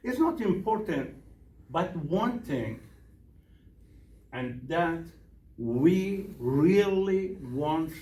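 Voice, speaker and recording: A man's voice: fairly high pitch, expressive, extremely slow, pauses often, dark tone. A middle-aged man from Iran with a Persian accent, a minister speaking broken English to a group and putting questions to them. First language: Farsi. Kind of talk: workshop talk with audience questions. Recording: echoey room from a distance, faint noise.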